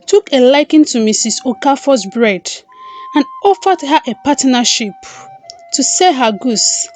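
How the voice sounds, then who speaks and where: A high-voiced woman narrates a folktale at a slow, deliberate pace. She reads as a storyteller, pausing between phrases, with music playing softly behind her. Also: lively intonation. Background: background music.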